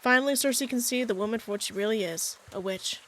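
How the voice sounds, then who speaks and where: A woman reading a visual novel aloud as narrator, breaking off with playful, self-mocking asides, with soft music behind. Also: a little high, quick pace, a little hiss or hum. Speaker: gaming video creator.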